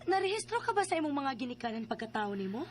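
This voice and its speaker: A woman's voice: sits high, big pitch swings, quick pace, slight background noise. A woman playing an outspoken, matter-of-fact female figure in a radio drama.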